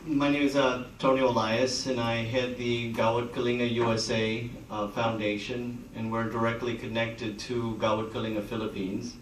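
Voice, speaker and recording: Relaxed joking American man, echoey room. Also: mid-range voice, normal speed, hardly any pauses, a little hiss or hum. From United States. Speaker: foundation head. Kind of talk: casual speech with humor. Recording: echoey room, distant mic.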